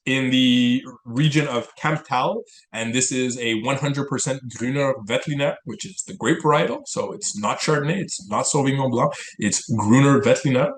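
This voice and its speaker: A man's voice: medium pitch, fairly bright tone, reverberant, low-quality recording. A man whose first language is Italian, co-hosting a podcast in casual chat over wine, rambling with many repeated words and restarts. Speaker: podcast co-host.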